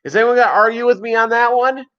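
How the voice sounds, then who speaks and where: A middle-aged American man chatting casually and unscripted on a livestream, rambling and halting with a jokey manner. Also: extremely high pitch, fairly even intonation. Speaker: fishkeeping livestream host and voiceover performer. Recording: dull lo-fi livestream audio.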